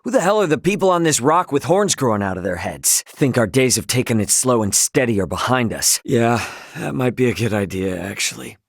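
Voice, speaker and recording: Brash, animated young American captain. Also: male voice, mid-range voice, a little fast, gravelly, hardly any pauses, husky, no room sound, studio quality. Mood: irritated. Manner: colloquial, emphatic, cocky. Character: brash young male spaceship captain, loyal and impulsive. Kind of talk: video game voice acting, casual dialogue.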